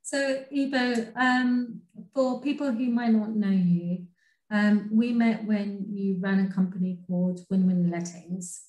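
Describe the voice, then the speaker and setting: Woman in her thirties, interview host, friendly and chatty, mid-pitched voice, video call, echoey room. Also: flat delivery, measured pace, darkish tone. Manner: friendly, chatty, uses many ums. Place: indoor room.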